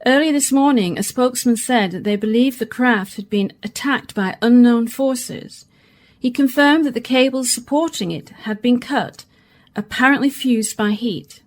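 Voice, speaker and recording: A woman's voice: fairly high pitch, normal speed, lightly acted. A woman playing a radio presenter in a science fiction radio drama. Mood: grave. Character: female live radio presenter interviewing a diver. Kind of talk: radio play acting as live broadcast.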